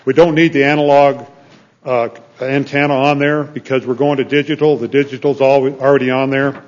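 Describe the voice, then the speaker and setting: A man's voice: medium pitch, level tone, normal speed. A man speaking as a senator on a legislative floor, earnest and plain-spoken, often restarting his phrases. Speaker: state senator. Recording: dull lo-fi chamber audio.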